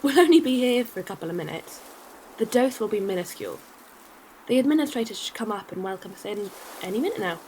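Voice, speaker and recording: A woman's voice: medium pitch, expressive, fast, a little mellow, a little hiss or hum, close to an everyday voice, low-quality recording. A woman playing a friendly, practical alien courier in a science-fiction audio drama, easygoing and matter-of-fact.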